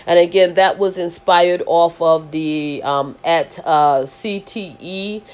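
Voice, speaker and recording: A woman hosting a faith-based podcast as an apostle, with a loud voice, talking in a rambling way with many restarts and fillers. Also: on the low side, fairly even intonation, takes it slowly, clear, resonant tone, dull audio.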